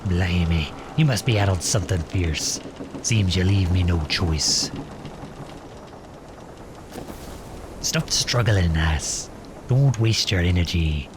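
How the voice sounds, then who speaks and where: Man acting as gruff pirate captain, low voice, slow delivery, commanding yet caring, salty slang, audio roleplay, noisy ambience behind. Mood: insistent, teasing. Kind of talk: ASMR roleplay audio drama.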